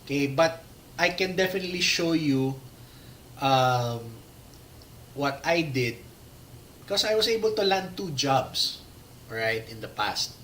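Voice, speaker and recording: A man's voice: mid-range voice, expressive, measured pace, long pauses, fairly bright tone, a little hiss or hum, rough recording. A man from the Philippines giving a live slide talk, losing his voice, rambling with many fillers and restarts. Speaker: presenter giving a talk on working in motorsport. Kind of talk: live-streamed slide presentation with audience questions.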